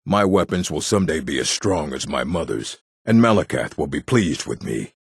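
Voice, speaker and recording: An extremely low-voiced orc from a fantasy video game. He is proud, stern and blunt, with heavy gravel in the throat. The recording is close and dry. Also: expressive, moderate pace, no echo, full character voice. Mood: solemn, earnest. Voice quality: gravelly, husky. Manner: blunt, stern, clipped phrasing. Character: gruff proud orc warrior and blacksmith, adult male.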